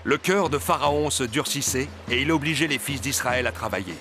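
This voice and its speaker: A man's voice: medium pitch, moderate pace, music in the background. A man narrating a scripted Bible story as its storyteller.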